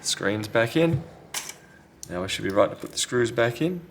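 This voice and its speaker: Man, low creaky voice, casual repair walkthrough, unscripted, thinking aloud, slight background noise. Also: animated, normal speed. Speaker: repair technician, video host. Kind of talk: casual hands-on tutorial commentary. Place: indoors at a repair workbench.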